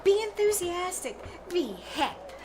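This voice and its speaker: A woman's voice: very high-pitched, very animated, slow pace, exaggerated voice. A woman playing an eager, bossy teenage niece in an old-time radio comedy, emphatic and coaxing. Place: small theater stage, live performance. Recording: very noisy live stage recording.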